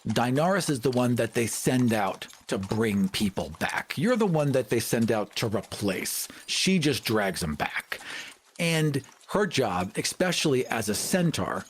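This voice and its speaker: A man's voice: medium pitch, sing-song, measured pace, a little hiss or hum. A man taking part in a tabletop game as a player, casually explaining his character and the story.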